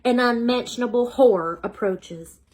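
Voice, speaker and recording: Lady as a meek worried little sister in a storybook, pleading, bit raised in pitch. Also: normal speed, darkish tone, close to an everyday voice, poor audio. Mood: anxious pleading. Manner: fretful, pleading. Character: timid, anxious, gentle younger sister.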